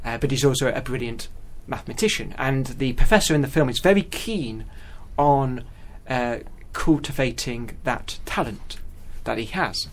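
A man telling stories as a guest in casual conversation, animated and often repeating words as he goes. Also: mid-range voice, moderate pace, hardly any pauses. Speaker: guest mathematician.

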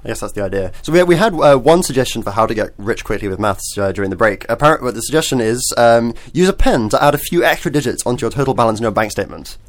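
A man hosting a casual discussion, piecing his sentences together haltingly. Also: medium pitch, lively intonation.

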